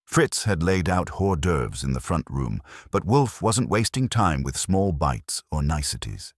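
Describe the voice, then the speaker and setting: A man narrating a scripted detective story as an audiobook, in a measured, theatrical noir manner. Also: rumbling low, big pitch swings, no room sound, clean studio sound.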